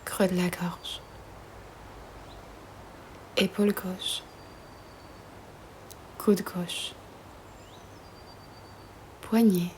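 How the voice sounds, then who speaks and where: A woman guiding a yoga nidra meditation in a soft, breathy, half-whispered voice, soothing and gentle, with long pauses between phrases. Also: fairly low pitch, fairly even intonation, slight background noise.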